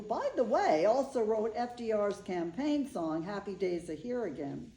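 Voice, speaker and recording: A woman's voice: mid-range voice, warm tone, lightly acted. A woman playing an eccentric, affectionate older bride in a staged reading, with an earnest, rambling way of telling things. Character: Eve, eccentric older bride, affectionate, chatty animal lover. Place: indoor room, staged reading. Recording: echoey room.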